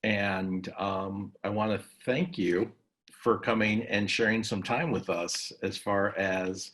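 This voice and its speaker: Male interviewer, lowish pitch, slow and halting conversational delivery in short phrases. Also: fairly even intonation, a bit bright. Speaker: interviewer, session host.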